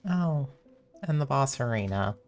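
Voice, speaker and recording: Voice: mid-range voice, lively intonation, unhurried, darkish tone, faint music behind. A game streamer giving casual gameplay commentary with wry asides.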